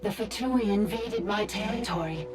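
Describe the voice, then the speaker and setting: A woman's voice: mid-range voice, normal speed, distant mic, music in the background, lightly acted. A woman voicing a plaintive enemy automaton in a video game. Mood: defensive. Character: plaintive enemy automaton, speaking through translation.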